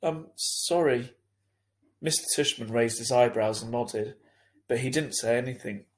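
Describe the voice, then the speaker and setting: A man narrating a children's book as a teacher reading aloud, deliberate and expressive. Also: medium pitch.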